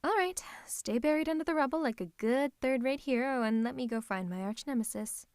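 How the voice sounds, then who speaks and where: A woman's voice: high voice, brisk, darkish tone. A woman voicing a theatrical, possessive female supervillain who is secretly a tender lover, in a scripted ASMR roleplay with a dramatic, haughty, teasing manner. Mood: mocking. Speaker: ASMR voice performer.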